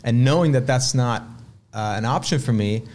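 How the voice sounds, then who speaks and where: A man in his late thirties, originally from Sweden, telling his story as a restaurant owner in a relaxed, reflective way. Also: medium pitch, normal speed, a little hiss or hum. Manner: relaxed, reflective storytelling with frequent "uh" and repeated words. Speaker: restaurant owner, podcast guest. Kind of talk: casual interview conversation.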